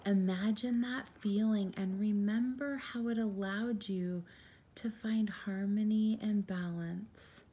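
A woman leading a guided meditation in a soft, soothing, gentle and unhurried voice, breathy at times. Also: medium pitch, steady tone, dull audio.

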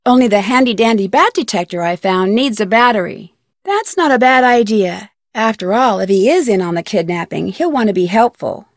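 A woman's voice: medium pitch, expressive, moderate pace, dry recording, studio quality, close to an everyday voice. An actress playing an inquisitive, polite young woman detective in an adventure game, speaking earnestly. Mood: earnest, explaining. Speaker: video game lead character. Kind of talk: scripted adventure game dialogue.

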